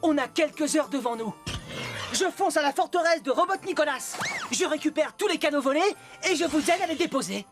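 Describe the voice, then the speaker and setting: Cocky cartoon hedgehog, high voice, wisecracking, loud music behind. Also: male voice, animated, fairly quick. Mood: determined, eager. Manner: breezy, wisecracking, confident. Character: cocky, heroic young male cartoon hedgehog. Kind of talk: cartoon dub acting.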